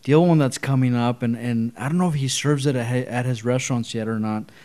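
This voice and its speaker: A man's voice: medium pitch, fast talker, darkish tone. A man hosting a casual podcast, asking questions and hesitating often with fillers. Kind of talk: casual interview chat.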